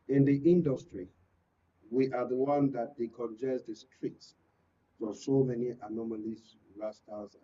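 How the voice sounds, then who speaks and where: A man with a Nigerian accent speaking as a guest from the creative industry in a political panel discussion, deliberate and emphatic, often repeating phrases. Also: medium pitch, warm tone, slight background noise. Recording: muffled, distant-mic, lo-fi studio pickup.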